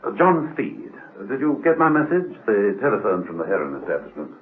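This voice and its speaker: An actor playing an urbane, unflappable British gentleman agent in a radio drama, jaunty, glib and politely pushy. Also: fairly low pitch, sing-song, fairly quick. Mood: breezy, jaunty. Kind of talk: classic radio drama acting. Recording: narrow-band old radio recording.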